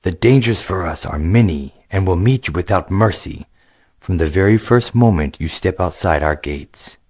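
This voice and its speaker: A man voicing a courteous, formal half-elf greeter with a measured, grave way of speaking in a storybook reading. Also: low voice, animated, a little mellow, slightly rough, dry recording, studio quality.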